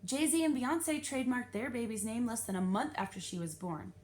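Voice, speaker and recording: A woman's voice: a little high, normal speed, a little mellow, voice pushed up, close to an everyday voice. A woman voicing a precocious, self-important ten-year-old girl in a storybook, speaking in a matter-of-fact, know-it-all way.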